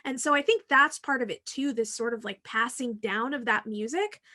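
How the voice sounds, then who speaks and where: A woman's voice: fairly high pitch, moderate pace, sharp tone. A middle-aged American woman co-hosting a podcast, chatting casually and informally. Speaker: podcast co-host. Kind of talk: casual chat.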